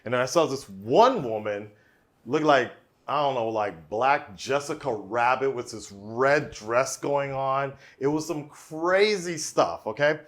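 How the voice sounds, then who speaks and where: A man's voice: mid-range voice, measured pace, fairly bright tone. A man hosting a podcast, joking and teasing his guest in a hyped, casual chat. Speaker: podcast host.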